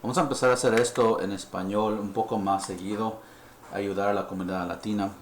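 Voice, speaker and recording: A man from California who grew up speaking English, presenting a live-stream dental lesson in halting Spanish that he apologizes for, mixing in English words. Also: fairly low pitch, fairly even intonation, a little hiss or hum. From United States (California). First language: English (heritage Spanish speaker). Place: dental lab. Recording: echoey lab room, faint ambience.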